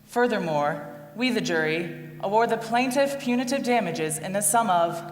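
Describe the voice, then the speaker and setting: A woman on stage playing a composed jury foreperson, speaking in a formal, measured, declarative way. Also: medium pitch, lively intonation, slight background noise, close to an everyday voice. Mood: solemn. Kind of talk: formal stage play recitation. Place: live stage performance in a hall. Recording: echoey hall, long reverb.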